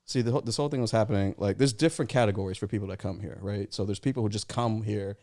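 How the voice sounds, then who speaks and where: A man in his forties from Eritrea, co-hosting a casual comedy podcast and telling stories in a halting, rambling way with many restarts. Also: on the low side, a little mellow. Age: 40s.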